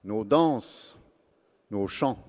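A man's voice: lowish voice, expressive, a little mellow. A middle-aged man speaks earnestly on a festival stage, introducing a guest and interpreting her words through a handheld mic. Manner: earnest, declamatory, measured. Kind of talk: live stage speech and interpreting. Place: large festival stage, indoors. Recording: live hall with long reverb.

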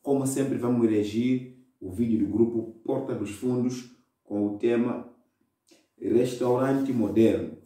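A man's voice: medium pitch, unhurried, warm tone. Mozambican man in an echoey room. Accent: Mozambican Portuguese. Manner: cheerful, laughing asides. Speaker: reaction video host. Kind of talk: casual reaction commentary. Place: indoors against a plain wall. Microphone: wired earphone mic. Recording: echoey, distant room sound.

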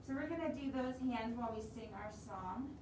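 A woman's voice: fairly high pitch, normal speed. A woman hosting a preschool storytime, speaking simply and encouragingly to small children through a cloth mask in a library room. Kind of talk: read-aloud and instructions for young children. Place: library room with wooden walls and windows, indoors. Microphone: camera a few feet away, speaking through cloth mask. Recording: roomy, distant mic with strong noise.

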